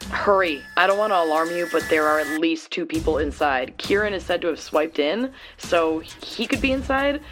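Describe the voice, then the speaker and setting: A woman's voice: sits low, expressive, normal speed, hardly any pauses, fairly bright tone. An actress playing a nervous female tech ally who gives clipped alerts to a superhero in an audio drama. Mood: urgent. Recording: music under the voice. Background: music.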